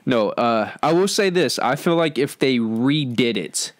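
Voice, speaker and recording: A man's voice: mid-range voice, animated, unhurried, no echo, studio quality. A man hosting a podcast, giving his opinions in casual, slangy discussion. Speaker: podcast host.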